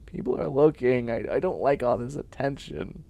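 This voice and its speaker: A man's voice: mid-range voice, animated, normal speed, creaky, warm tone. A man playing a bossy, clingy young catboy in a comedic roleplay audio drama, whining and demanding in a needy way. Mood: flustered, demanding.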